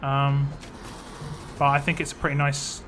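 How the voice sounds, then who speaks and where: A man's voice: mid-range voice, completely flat delivery, unhurried, music in the background. A man casually chatting on a live stream, reading viewer comments aloud and answering them as he plays. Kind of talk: casual live stream chat while playing a mobile game.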